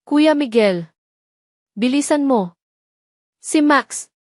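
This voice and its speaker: A woman's voice: high-pitched, lively intonation, drawn-out words, a little mellow, no echo, studio quality, pitched above the performer's own voice, full character voice. A woman voicing a scheming younger woman who pleads urgently in feigned tearful panic for an audio drama. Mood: panicked. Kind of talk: Tagalog audio drama acting.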